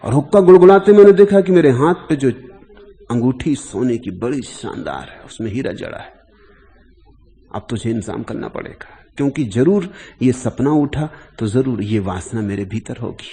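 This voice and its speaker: Male mystic saint from a spoken parable acted by a man, earnest, coaxing, mellow timbre, heavy hall echo. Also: medium pitch, expressive, normal speed, gravelly, a little hiss or hum. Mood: confiding, earnest. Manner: confiding, coaxing, repeating himself. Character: male mystic saint, earnest, playfully confiding in himself and devotee. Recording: long hall reverb on speech.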